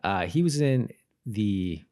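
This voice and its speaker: Low-pitched man, casual podcast co-host. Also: animated, takes it slowly, a little mellow. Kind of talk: casual rewatch podcast chat.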